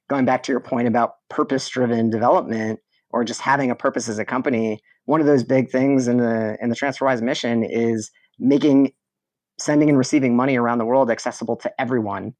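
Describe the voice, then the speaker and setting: A man's voice: medium pitch, a little mellow, dry recording. A man from southwestern Ontario, explaining things methodically and conversationally as an interview guest. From southwestern Ontario, Canada. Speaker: podcast guest, product manager.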